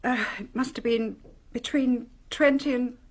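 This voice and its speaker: An actress in a radio drama playing an anguished, secretive middle-aged mother, halting, defensive and earnest. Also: a little high, steady tone. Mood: anguished confession.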